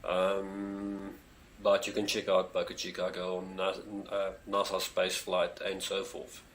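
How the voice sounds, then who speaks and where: South African male streamer, Afrikaans first language, low voice, rambling chatty delivery, faint background noise. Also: completely flat delivery, slow pace, fairly bright tone. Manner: rambling, many fillers, eager pleas to viewers. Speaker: space channel streamer. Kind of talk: casual live stream chat. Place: home near a street.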